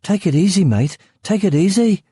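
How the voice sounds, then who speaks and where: A man voicing a young, overweight male security guard from Birmingham, high and agitated, with a Birmingham accent. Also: expressive, moderate pace, mellow, breathy edge, dry recording, studio quality, exaggerated voice. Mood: frightened.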